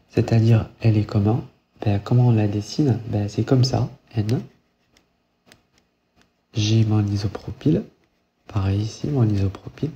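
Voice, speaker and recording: Male chemistry teacher, middle-aged, very dark mellow voice, muffled lo-fi recording, casual lecturing with frequent check-ins. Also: medium pitch. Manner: thinks aloud with many fillers and restarts, checks in with "ok?". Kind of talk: whiteboard lecture. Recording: muffled lo-fi sound with faint hiss.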